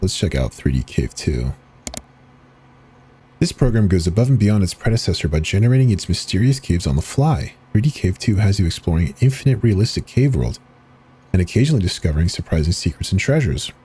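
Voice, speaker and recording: A man giving a slow, deliberate dramatic reading of forum posts and web pages in an ominous tone. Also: deep voice, sing-song, dark tone, slightly rough. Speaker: channel host reading horror texts.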